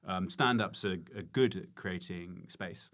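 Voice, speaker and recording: A man's voice: low-pitched, unhurried, fairly bright tone. A man, a stand-up comedian, chatting casually and reflectively as a podcast guest.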